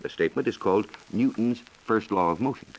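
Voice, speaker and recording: An American man explaining science in a measured, lecturing way, as a reporter in a scripted 1940s educational radio dialogue. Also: fairly low pitch, poor audio. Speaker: science reporter and guest expert.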